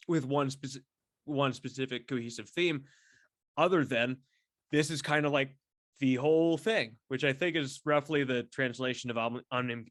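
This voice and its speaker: A man chatting casually and solo as a podcast host, effusive and halting, repeating words and swearing freely as he rambles. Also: medium pitch, fairly bright tone, no room sound, clean studio sound. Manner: effusive, enthusiastic fan talk. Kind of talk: casual solo chat to camera about a favorite band.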